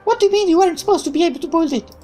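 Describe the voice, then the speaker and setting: Brash young woman mechanic, high, exclaiming delivery, voiced by a female reader. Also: very fast, dark tone, soft background music. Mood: eager. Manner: exclaiming, indignant, high-pitched. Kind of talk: reading visual novel dialogue aloud.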